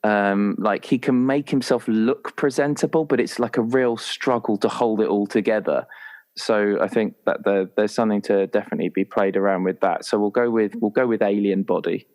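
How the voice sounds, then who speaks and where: A man chatting casually and haltingly as a player working out a roleplaying character, often restarting his thoughts. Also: on the low side, darkish tone. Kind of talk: casual, improvised character discussion.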